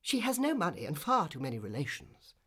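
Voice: medium pitch, huge pitch swings, a little fast, a bit bright, breathy edge, heavily acted. A storyteller voicing gossipy, disapproving swallows in a storybook, with a twittering, scornful manner.

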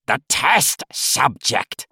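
Man playing a domineering old scientist, gravelly and raspy, slow commanding delivery, rising and falling pitch, dry close sound. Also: very animated, bright, forceful tone, no echo, full character voice. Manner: commanding, snapping. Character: stooped old male scientist, imperious and short-tempered.

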